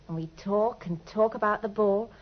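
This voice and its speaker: A woman's voice: medium pitch, normal speed, lightly acted. An actress in an old British radio drama playing a naive, trusting, lovelorn young woman who speaks earnestly and breathlessly. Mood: wistful, confiding. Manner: breathless, earnest, deferential, pours out her story. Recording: dull, lo-fi old radio recording.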